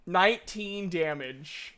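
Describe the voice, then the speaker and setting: A slow-paced, fairly high-voiced man acts as game master for a casual tabletop game. His narration is informal and slangy, and it comes out in halting pieces. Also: lively intonation, bright, forceful tone, smooth.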